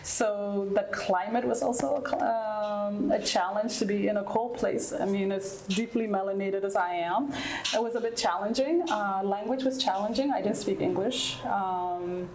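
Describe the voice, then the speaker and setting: A woman in her thirties, originally from Ethiopia and South Sudan and a native Nuer speaker, speaks frankly and reflectively as an interview guest. Her voice sits at a middle pitch. She is recorded in an echoey room with a faint hiss underneath. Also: moderate pace, a little mellow. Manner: frank, reflective, often adds "right?". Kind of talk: candid interview answers.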